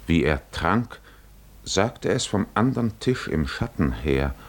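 An actor playing a grave storyteller in a 1950s German radio drama, turning gruff and curt for dialogue. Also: male voice, low-pitched, very animated, slow, heavily acted. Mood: grave, suspenseful. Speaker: narrator, also voicing the curt hero's lines.

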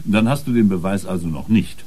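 An actor playing a gruff, sardonic police officer in a radio crime drama, with a husky voice. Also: male voice, low voice, expressive, fairly quick, dark tone. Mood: sceptical, grudging.

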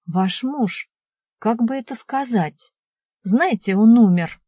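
A woman's voice: fairly high pitch, expressive, takes it slowly, mellow, husky, no room sound, clean studio sound, exaggerated voice. A woman reading the part of a composed, hesitant woman delivering bad news by telephone in an audiobook. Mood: calm, hesitant.

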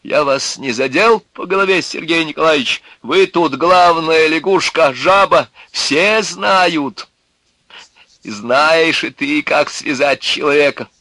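A man voicing a furious eccentric in a dramatized story, shouting and sarcastic, ranting with spiteful, defiant glee. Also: a little high, slow, no echo, pitched above the performer's own voice, full character voice. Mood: mocking anger.

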